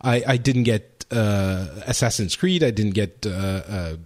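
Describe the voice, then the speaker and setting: A man's voice: on the low side, measured pace. A man co-hosting a gaming podcast in casual chat, rambling with many fillers and restarts. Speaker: podcast co-host.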